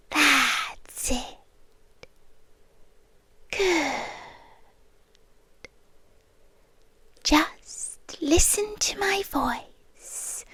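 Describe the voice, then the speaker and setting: A British woman playing a doting, possessive serpent-like monster girlfriend in a breathy, soothing and controlling ASMR whisper. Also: sits high, no room sound, heavily acted. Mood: hushed, possessive. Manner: slow, hushed, soothing and controlling. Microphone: close ASMR microphone.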